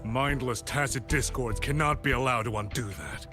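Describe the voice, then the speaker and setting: Guy acting as zealous game commander, formal and sure of himself, mid pitch, loud score behind. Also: normal speed, close to an everyday voice. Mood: proud. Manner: formal, declamatory, self-assured. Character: proud, zealous military artisan commander. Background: game music.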